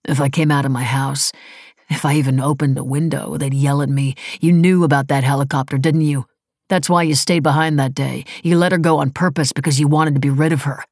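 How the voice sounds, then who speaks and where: A woman reader takes on a wealthy, calculating man in an audiobook novel. He is measured and deliberate, needling yet controlled. The voice is low and gravelly in a dry, close recording. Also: steady tone, darkish tone, dry recording. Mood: bitter, controlled.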